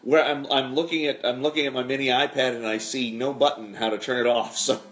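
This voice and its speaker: A man's voice: mid-range voice, fairly even intonation, fairly quick, fairly bright tone. A man hosting a livestream in casual chat, with a self-deprecating, hesitant and trailing way of talking. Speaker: show host and singer.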